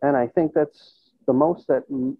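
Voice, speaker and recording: A man's voice: mid-range voice, steady tone, unhurried, breathy edge. A man in his thirties, a musician and band teacher, talking reflectively in a casual interview and thinking aloud as he goes. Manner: conversational, thinking aloud, checks in with "you know" and "right?". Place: indoors in a plain room on a video call. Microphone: earbud headset. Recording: tinny, band-limited video call audio.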